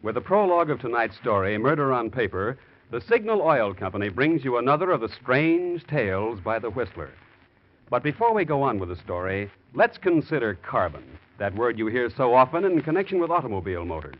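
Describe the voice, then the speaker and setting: Animated 1940s radio announcer, man. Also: medium pitch, brisk. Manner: polished, emphatic salesmanship. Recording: muffled 1940s radio recording.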